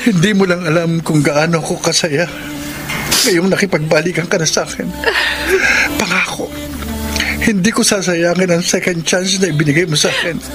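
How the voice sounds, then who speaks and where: A man's voice: high-pitched, moderate pace, over music, close to an everyday voice. An actor playing an earnest, lovesick young man in a melodramatic Filipino radio drama. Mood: relieved, devoted.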